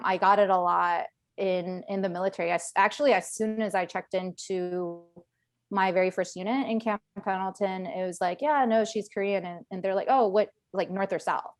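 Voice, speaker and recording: A woman's voice: medium pitch, no room sound, clean studio sound. A woman veteran speaking on a video call in a casual webinar panel, chatty with many fillers and restarts. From Northern Virginia, USA.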